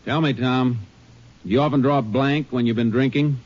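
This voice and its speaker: An actor playing a Texas Ranger in a 1950s radio drama, questioning people in a calm, terse, firm way. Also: male voice, medium pitch, normal speed, close to an everyday voice. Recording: noisy lo-fi old radio broadcast.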